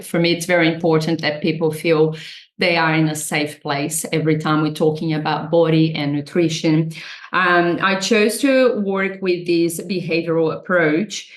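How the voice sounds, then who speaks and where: A woman with a Brazilian accent, a nutritionist guest, explaining her work earnestly and enthusiastically. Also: lowish voice. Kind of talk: interview answers. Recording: roomy echo.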